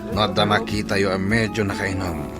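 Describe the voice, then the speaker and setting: A man's voice: low voice, flat delivery, brisk, loud music behind the voice, lightly acted. A man playing a sly, bossy gang leader giving orders in a comedy radio drama. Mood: commanding. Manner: bossy, scheming. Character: sly, commanding male gang boss.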